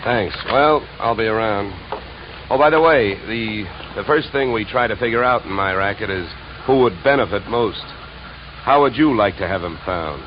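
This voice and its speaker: Man playing deep-voiced hard-boiled radio detective. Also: a little hiss or hum, lightly acted. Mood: probing, businesslike. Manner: wisecracking, deadpan, brisk. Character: wry, hard-boiled male insurance investigator, narrating his case. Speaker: lead actor and narrator. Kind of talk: 1950s radio detective acting. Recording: dull vintage radio recording, music behind.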